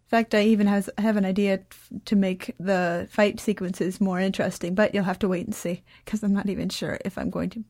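A woman's voice: mid-range voice, fairly even intonation, a little fast, darkish tone. A teenage girl chatting casually, rambling and interrupting herself with dry humor. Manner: rambling, self-interrupting, dryly joking. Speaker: young podcast creator and guest. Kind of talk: casual chat.

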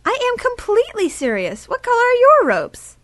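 A young woman acts out a girlish, teasing teenage apprentice in a space fantasy radio play. Her voice is high and swings freely up and down, perky and cheeky in manner. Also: wildly swooping pitch, moderate pace, fairly bright tone, dry recording, clean studio sound, exaggerated voice. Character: bubbly, cheeky teenage girl Sith apprentice who loves pink. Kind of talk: science-fiction audio drama acting.

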